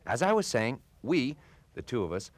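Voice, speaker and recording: A man playing a pompous know-it-all loudspeaker. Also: mid-range voice, sing-song, normal speed, dry recording, close to an everyday voice. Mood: lecturing. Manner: pompous, lecturing, easily irritated. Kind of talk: comedy sketch on a test record.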